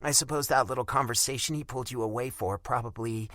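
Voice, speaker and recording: A man's voice: mid-range voice, quick pace, no echo, clean studio sound, pitched above the performer's own voice, lightly acted. A man voicing an irritated young American woman who talks bluntly and with sarcastic bite. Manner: sharp, sarcastic, cutting.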